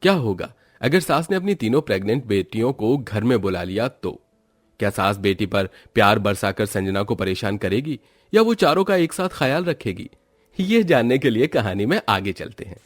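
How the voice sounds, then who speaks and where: A man's voice: fairly low pitch, expressive, moderate pace, dry recording, studio quality. A man narrating a scripted cartoon moral story as its storyteller.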